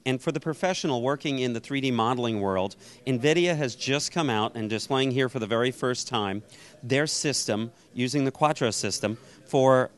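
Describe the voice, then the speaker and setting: A man's voice: mid-range voice, normal speed. A middle-aged man presenting and asking questions in an on-camera trade show interview. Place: trade show booth indoors. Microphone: handheld interview microphone.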